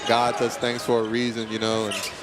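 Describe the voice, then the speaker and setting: Young man talking over loud arena noise. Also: mid-range voice, little pitch movement, normal speed. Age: young adult. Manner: earnest, repeats "you know" often. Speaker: injured college basketball player, interview guest. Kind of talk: courtside interview. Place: courtside at a basketball arena. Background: crowd and game noise.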